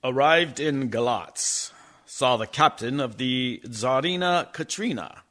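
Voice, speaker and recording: A man's voice: medium pitch, slow pace, fairly bright tone. An actor playing an earnest, anxious young man narrating his journal in a radio play. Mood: tense.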